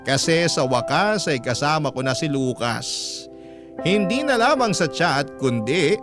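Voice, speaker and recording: Higher-pitched male storyteller over clear music. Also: measured pace. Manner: conversational, expressive. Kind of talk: dramatic first-person radio story narration.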